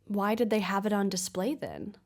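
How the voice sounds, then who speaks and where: An actress playing a measured, skeptical investigative podcast host in a scripted audio drama. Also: female voice, mid-range voice, big pitch swings, a little mellow. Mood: inquisitive. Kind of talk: scripted investigative narration and interview.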